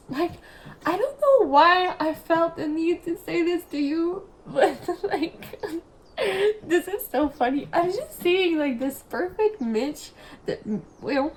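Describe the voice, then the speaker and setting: Young french-native woman doing a tarot reading, highish voice, giggly and rambly, faint hiss behind. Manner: chatty, giggly, rambling with many restarts. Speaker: tarot reader. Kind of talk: casual pick-a-card tarot reading.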